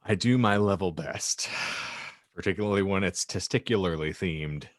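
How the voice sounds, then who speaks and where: A man's voice: sits low, normal speed, no room sound, clean studio sound. A man co-hosting a podcast in a wry, playful way, moving between casual chat and reading aloud with theatrical phrasing. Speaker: podcast co-host.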